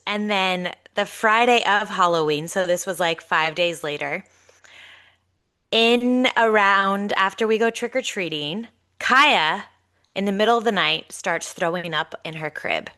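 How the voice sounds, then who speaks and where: A woman in her thirties, congested and nasal, co-hosting a podcast and telling a story in a lively, chatty way. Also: mid-range voice, measured pace. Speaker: podcast co-host. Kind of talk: casual storytelling chat.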